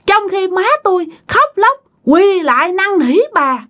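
A woman doing a shrill, high-pitched vengeful ghost. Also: animated, unhurried, fairly bright tone, heavily acted. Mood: bitter, accusing. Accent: Southern Vietnamese. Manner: accusing, curt, repetitive questioning. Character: vengeful ghost of a murdered grandchild possessing a man.

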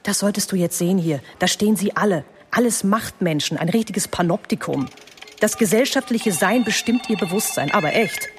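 An actress playing a sharp-tongued woman politician in a radio play, sardonic and self-assured. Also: medium pitch, animated, brisk, natural voice.

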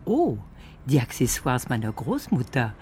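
A woman narrating a scripted radio feature in an expressive, storytelling manner. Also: a bass voice, a little slow, background noise.

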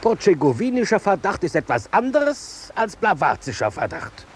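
An actor playing a solemn, suspicious soldier with formal diction in a 1950s German radio play. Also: male voice, high-pitched, sing-song, moderate pace.

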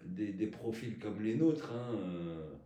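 Echoey low-voiced Frenchman, Arabic speaker. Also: lively intonation, slow pace, warm tone. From France, Paris region. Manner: emphatic, rhetorical questions, repeats phrases for emphasis. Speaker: football coach, podcast guest. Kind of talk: impassioned conversational interview. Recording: echoey room sound.

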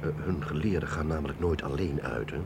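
A man's voice: a bass voice, brisk, gravelly. A man playing an affable, candid military captain and scientist in a radio drama, conversational and slightly hesitant. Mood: candid, reassuring. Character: affable male navy or army captain, modest, candid scientist. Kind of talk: Dutch radio drama acting. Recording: faint vehicle noise behind voice.

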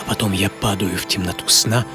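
An actor playing an earnest, dreamy storyteller who recalls a poor boyhood, in a theatrical, reflective radio monologue. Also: male voice, low-pitched, fairly even intonation, fairly quick, close to an everyday voice. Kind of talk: dramatic first-person radio monologue. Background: music.

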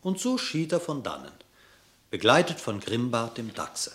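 A man narrating a classical verse radio drama in an expressive, literary storytelling manner. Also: medium pitch, normal speed.

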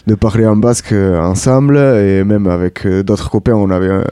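Young male radio interviewer, lowish and mellow, chatty and meandering, dry sound. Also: fairly even intonation, moderate pace, clean tone, dry recording. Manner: friendly, rambling questions with fillers.

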